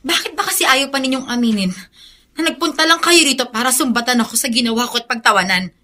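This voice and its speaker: Hostile proud young woman, curt, piercing bright tone, quick, radio drama. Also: high voice. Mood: bitter, hostile. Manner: curt, scornful.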